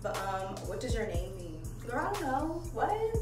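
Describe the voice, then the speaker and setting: A young woman from Maryland talks candidly and laughs easily as she curls her hair at home. Her fairly high voice sounds distant and echoey, picked up by a phone set away from her, with music playing clearly behind. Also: big pitch swings, normal speed. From Maryland, USA. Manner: chatty and candid, laughs often, swears casually. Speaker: vlogger. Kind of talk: casual chat while curling hair. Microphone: phone camera.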